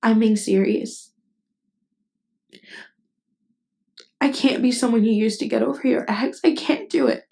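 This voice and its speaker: A woman acting a tender, lovestruck best friend in an intimate ASMR roleplay, speaking in a halting, earnest, vulnerable way. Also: on the high side, vocal fry, dark tone. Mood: earnest, pleading. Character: tender, lovestruck female best friend confessing her feelings. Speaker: voice actress.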